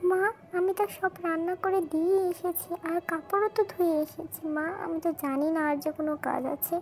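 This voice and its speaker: A woman's voice: very high-pitched, fairly quick, faint music behind. A woman voicing a young village girl in a cartoon moral story. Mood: meek. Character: young village girls in a fairy tale, stepdaughter and sister.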